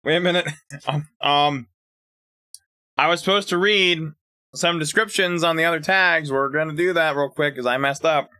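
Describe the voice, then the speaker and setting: A man streaming live, chatting casually with viewers, animated, laughing often and stumbling as he reads things aloud. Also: a little high, normal speed, no room sound, studio quality.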